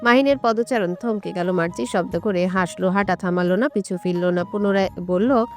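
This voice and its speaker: A woman's voice: mid-range voice, normal speed. Female story narrator, mid-range voice, scripted romance reading, light music bed.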